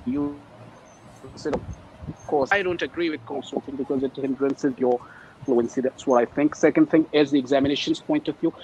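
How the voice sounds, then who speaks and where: Halting male teacher, native Urdu speaker. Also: a little high, darkish tone, slight background noise, rough recording. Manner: halting, many hesitations. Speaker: ESL teacher. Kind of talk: panel discussion. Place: teaching abroad. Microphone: video call.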